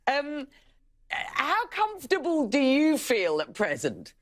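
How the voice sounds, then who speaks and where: A woman news anchor introducing a guest and asking brisk questions. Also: sits high, wildly swooping pitch. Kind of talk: news interview.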